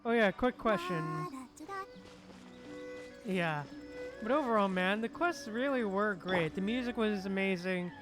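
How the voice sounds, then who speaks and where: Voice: medium pitch, lively intonation, unhurried, pauses often. A livestream host chatting casually while gaming, often repeating phrases in reply to chat. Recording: music playing behind the voice.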